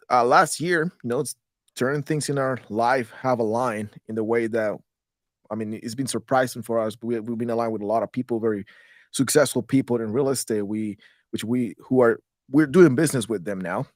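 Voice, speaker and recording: A man's voice: lowish voice, no echo, studio quality. A man in his thirties from Guatemala, a guest in a podcast interview, talking in a loose, rambling way with many restarts. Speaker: guest, real estate investor.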